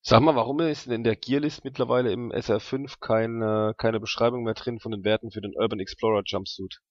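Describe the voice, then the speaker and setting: A man's voice: medium pitch, fairly even intonation, brisk, no room sound, highs cut off. A man playing a tabletop role-playing game, chatting casually and working through rules and numbers aloud.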